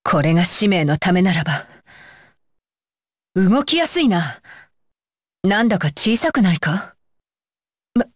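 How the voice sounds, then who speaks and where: A woman's voice: lowish voice, sing-song, measured pace, long pauses, dry recording, studio quality. A woman voicing a stern, dutiful young female ninja bodyguard for an anime game, with clipped, commanding and blunt phrasing. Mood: flustered, guarded. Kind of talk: Japanese anime game voice lines.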